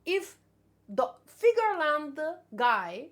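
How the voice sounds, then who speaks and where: A woman hosting a casual live read-along, thinking aloud in an animated, exclamatory way with rambling asides. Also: sits high, slow.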